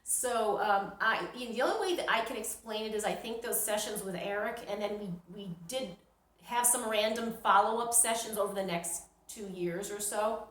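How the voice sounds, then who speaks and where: A middle-aged woman shares a personal story in a casual, conversational style. Her voice sits at a medium pitch, and she retells things dramatically, slipping into small acted reactions. The recording is noticeably roomy, with echo around her words. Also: normal speed, fairly bright tone. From New York, United States. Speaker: storyteller, vlogger. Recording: roomy, echoing sound.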